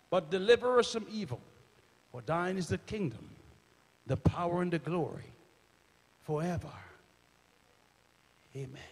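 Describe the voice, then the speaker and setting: A middle-aged man preaching a sermon on a church stage with a handheld microphone, swinging from loud shouts to hushed near-whispers. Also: very high voice. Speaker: minister. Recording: reverberant church hall.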